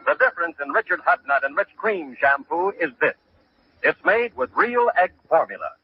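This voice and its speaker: An American man working as a radio announcer and pitchman in a polished 1950s announcing style. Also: big pitch swings, normal speed. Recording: noisy, dull old radio broadcast.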